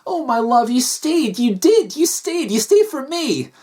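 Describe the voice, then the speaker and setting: A woman's voice: high voice, very animated, unhurried, mellow, voice pushed up. A woman voicing a devoted, distressed female computer program who believes she is a wife, earnest and insistent. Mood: tender relief.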